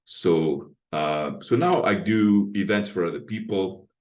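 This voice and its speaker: A man in his sixties with a deep bass voice, talking at length as an interview guest and explaining his approach point by point. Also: lively intonation, a little slow, a little mellow. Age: 60s. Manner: talkative, explains with lists and asides. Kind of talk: casual interview talk.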